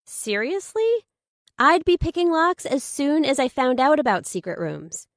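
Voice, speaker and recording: Woman voicing an excitable, nosy friend, pitched up. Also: measured pace, no echo, clean studio sound, heavily acted. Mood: eager, incredulous. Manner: emphatic, exclaiming, eagerly questioning. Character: nosy, excitable female friend, pushy and dramatic. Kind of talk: audiobook character dialogue.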